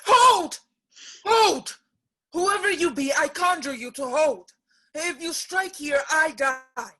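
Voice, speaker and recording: A woman's voice: sits high, animated, slow, bright, forceful tone, full character voice. A woman playing a distressed but defiant young lady in a period stage comedy, declaiming with passion. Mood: desperate pleading. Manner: impassioned, declamatory. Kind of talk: theatrical Restoration play acting.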